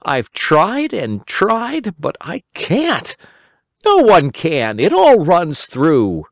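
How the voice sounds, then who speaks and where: A simple, round-faced young boy with a high, pleading, whiny voice that swings up and down, as a man puts him on. He frets easily and sounds hungry and helpless. The recording is dry and close. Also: huge pitch swings, very slow, gravelly, no echo, exaggerated voice. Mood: fretful.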